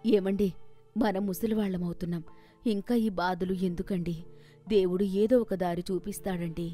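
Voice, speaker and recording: A woman's voice: mid-range voice, fairly even intonation, moderate pace, mellow, soft background music, close to an everyday voice. Woman acting a worried, humble old village wife. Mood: gently consoling. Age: older. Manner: plaintive, respectful pleading.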